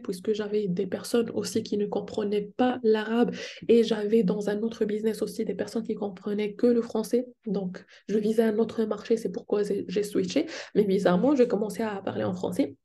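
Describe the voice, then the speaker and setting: A woman's voice: a little high, mellow. A Moroccan woman whose first language is Moroccan Arabic, speaking with a Moroccan French accent as she explains business tips and poses questions to her viewers. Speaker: online business coach. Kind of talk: teaching tips to the camera.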